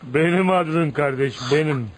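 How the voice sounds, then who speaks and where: A man's voice: a little high, moderate pace, lightly acted. An actor playing a bitter, tormented outcast man of thirty-five in a radio play, with dramatic, emotional delivery. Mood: weary, sorrowful. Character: tormented, bitter 35-year-old outcast man, brooding and volatile. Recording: noisy radio drama recording.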